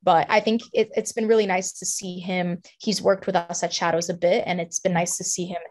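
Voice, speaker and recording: A young woman from Ukraine who speaks with an American accent, answering calmly and articulately. Her voice is slightly low, and she chooses her words with care. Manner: measured, thoughtful, articulate. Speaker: guest, co-founder. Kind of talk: interview answers.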